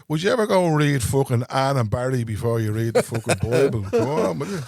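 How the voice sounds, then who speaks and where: A man's voice: mid-range voice, brisk, vocal fry, dry recording, clean studio sound. A middle-aged man with a North Side Dublin accent, a podcast host chatting casually and telling stories with lots of laughter and impressions. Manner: animated storyteller, laughs often and slips into impressions. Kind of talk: casual chat.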